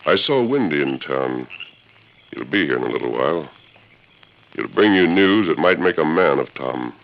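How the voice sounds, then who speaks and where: A man's voice: rumbling low, expressive, moderate pace. An actor in a 1940s radio western playing a stern masked lawman with a firm, authoritative manner. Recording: muffled 1940s radio recording, hiss.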